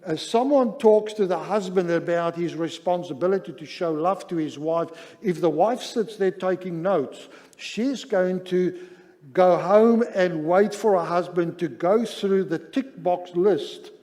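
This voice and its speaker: A man from Southern Africa with a South African accent, speaking English as a third language, giving a measured, deliberate sermon-style seminar talk with pauses between phrases. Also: high-pitched, mellow. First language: not English, which is a third language. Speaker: pastor, seminar presenter. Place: large church hall, speaking to an audience. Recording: reverberant hall, long echo.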